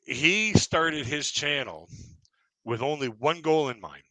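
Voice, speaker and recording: Male stream host, very bright, tense tone, dead-dry sound, loose rambling delivery. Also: fairly high pitch, big pitch swings, slow, no room sound, poor audio. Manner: rambling, heavy on fillers like "you know, like". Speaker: livestream chat host. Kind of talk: casual livestream chat.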